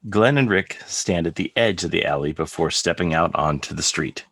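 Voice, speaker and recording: A man's voice: low-pitched, moderate pace, creaky, a bit bright, breathy edge, dry recording. A man reading stage directions as scripted, present-tense narration for a table read. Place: remote video call table read, dry sound.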